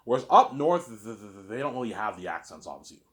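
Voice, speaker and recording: Rambling Canadian man, lively voice. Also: lowish voice, fast talker. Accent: slight Canadian. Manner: repeats "obviously" and restarts often. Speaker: vlogger. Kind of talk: rambling casual monologue.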